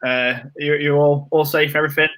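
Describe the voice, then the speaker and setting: Bright-voiced host, rambling and chatty. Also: male voice, a little high, flat delivery, fairly quick. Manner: rambling, full of fillers. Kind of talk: casual stream hosting.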